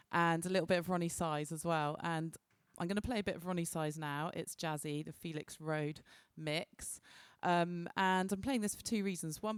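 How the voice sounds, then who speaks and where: A woman's voice: fairly low pitch, smooth, dry recording. A woman presenting a music radio show in a casual, chatty way, reminiscing as she introduces tracks. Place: radio studio.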